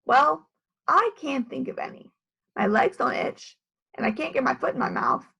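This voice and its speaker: Woman voicing an insistent high-pitched little storybook schoolgirl. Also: wildly swooping pitch, normal speed, vocal fry, sharp tone, slightly rough, exaggerated voice. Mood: earnest. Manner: emphatic, insistent.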